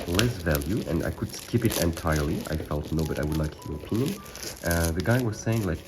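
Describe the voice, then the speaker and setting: A man's voice: deep voice, background noise. A man, sick and a little unclear of voice, chatting casually through a wargaming unboxing as the channel's host, thinking aloud and correcting himself as he goes. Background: background music.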